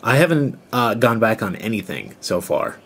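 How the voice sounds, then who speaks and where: A man's voice: on the low side, sing-song, moderate pace, fairly bright tone, breathy edge. An aggrieved, confrontational man arguing on a recorded telephone call. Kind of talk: tense phone argument.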